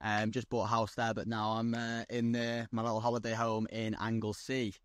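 A man's voice: on the low side, monotone, normal speed, no echo, studio quality. A young man from North Wales, a guest on a video call from outdoors, talking bluntly and chattily about his trade. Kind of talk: casual video-call interview. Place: outdoors on a lawn by the coast.

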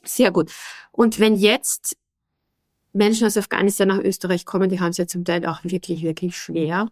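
A woman's voice: fairly low pitch, level tone, moderate pace, a little mellow, dry recording, studio quality. A woman hosting a podcast interview, asking long questions that she restarts and rephrases as she goes. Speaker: podcast host.

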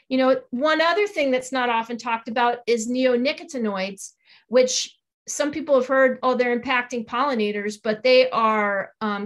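A woman in her forties speaking earnestly and emphatically as an interview guest, explaining her advocacy work over a video call. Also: on the high side. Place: small home office, indoors. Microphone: video call on a computer.

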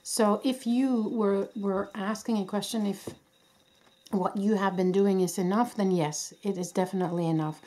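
A woman with a voice worn from a cold, giving a gentle, reassuring pick-a-card tarot reading with many fillers and restarts. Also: medium pitch, steady tone, normal speed. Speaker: tarot reader. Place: indoors at a candlelit table. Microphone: camera above a table, hands only.

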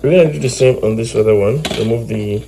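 A man's voice: lowish voice, fast talker, gravelly, warm tone. A man giving an informal, step-by-step hands-on demonstration as the host of an electronics tutorial. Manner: explanatory, step by step. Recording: faint background noise.

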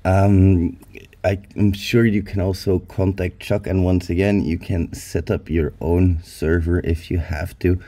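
A man with a hoarse voice from being sick, giving an upbeat, chatty tech review over a screen recording. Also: low-pitched, flat delivery, measured pace, dark tone. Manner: upbeat, chatty, addresses viewers as hackers. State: hoarse from illness. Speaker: YouTube security reviewer.

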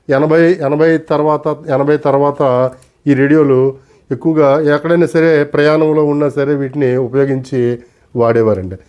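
A man giving an unscripted demonstration, explaining deliberately and politely in short, broken phrases. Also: a little high, muffled recording. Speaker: antique radio collector and presenter.

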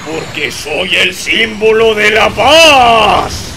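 A man with a Latin American accent voicing a mighty, defiant superhero in a fan-dubbed anime battle, with dramatic, drawn-out delivery. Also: high voice, expressive, creaky, over a noisy crowd, heavily acted. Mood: grim resolve. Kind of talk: anime fan dub acting. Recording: music behind the voice.

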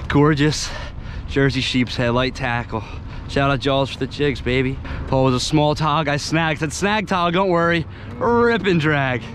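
A young American man giving excitable, slangy casual commentary while fishing, recorded outdoors on an action camera. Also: fairly high pitch, unhurried. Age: young adult. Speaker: fishing vlogger. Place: outdoors on a small boat under a bridge. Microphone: head-mounted action camera. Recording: noisy outdoor action-camera audio on boat.

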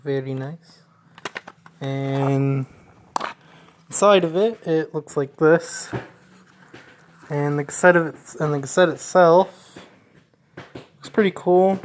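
A woman narrating a casual unboxing in a halting, deliberate way. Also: sits low, a little mellow, a little hiss or hum. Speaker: unboxing video maker.

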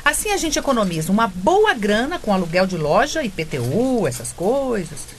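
A woman's voice: medium pitch, big pitch swings, moderate pace, a little hiss or hum, lightly acted. A woman playing a wheedling scheming mother. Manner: coaxing, wheedling. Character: scheming mother Nélia, sweet-talking cook. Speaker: radio drama actress. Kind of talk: radio soap acting.

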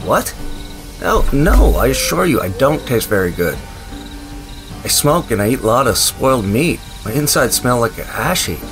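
An actor playing a wry, sentimental, quick-witted wasteland survivor in an audio drama, with a conversational, hesitant, dryly humorous delivery. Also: male voice, medium pitch, big pitch swings, music in the background. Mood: alarmed, pleading. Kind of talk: audio drama acting with narration.

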